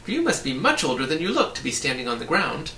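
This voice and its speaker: A man's voice: fairly high pitch, wildly swooping pitch, fast talker, sharp tone, roomy sound, far from the mic, slight background noise, heavily acted. A man voicing a breezy, opinionated young boy in a storybook, explaining things in a chatty, matter-of-fact way. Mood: musing. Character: breezy, opinionated young boy who floats in mid-air.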